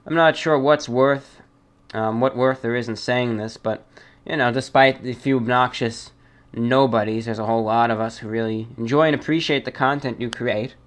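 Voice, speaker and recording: Male host, medium pitch, casual mail-reading and commentary, halting and deliberate delivery with repeated phrases. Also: fairly even intonation. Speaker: host of a listener-mail show.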